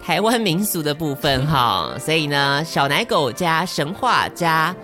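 A woman's voice: deep voice, sing-song, unhurried, sharp tone, soft background music. A woman with a Taiwanese Mandarin accent co-hosting a podcast, moving between casual banter and storytelling. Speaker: podcast co-host.

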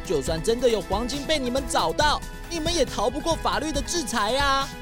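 A righteous young detective's companion from a children's mystery, acted by a woman. The voice is high, earnest and full of exclamation, over clear music. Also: lively intonation, a little fast, close to an everyday voice. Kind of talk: children's audio drama acting.

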